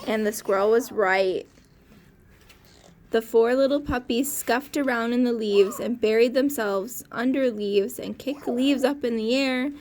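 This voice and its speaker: Slow, higher-voiced woman reading a storybook. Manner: expressive, pausing to ask questions. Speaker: teacher reading aloud to a class. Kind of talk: storybook read-aloud for young children.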